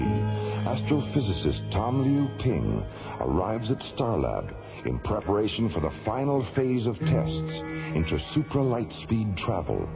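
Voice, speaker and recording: A man narrating a scripted science fiction radio serial in a measured, formal announcer's manner. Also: fairly low pitch, gravelly. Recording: dull old radio recording, loud music. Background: loud dramatic music.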